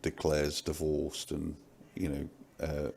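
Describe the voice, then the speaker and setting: Very deep, gravelly man, understated commentator. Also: monotone, slow, a little mellow, slight background noise. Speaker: panel commentator. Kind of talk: casual critical discussion.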